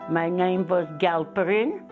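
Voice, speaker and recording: A woman in her eighties from Lithuania speaks slowly and deliberately in a testimony interview. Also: fairly low pitch. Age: 80s. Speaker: Holocaust survivor, interviewee. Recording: tinny phone-like sound. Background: clear background music.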